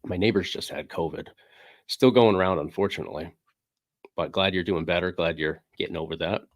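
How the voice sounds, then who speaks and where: Low-voiced man rambling on a livestream. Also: fairly quick, no echo, studio quality. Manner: rambling, filler-heavy, reads chat aloud. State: recovering from a cold, sniffling. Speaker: livestream host. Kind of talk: casual livestream chat. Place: indoor game room. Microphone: desk microphone.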